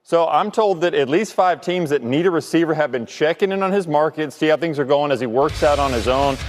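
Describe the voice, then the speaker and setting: A man's voice: on the high side, brisk. A man working as an NFL insider, giving a conversational sports report on a broadcast. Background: music under the voice.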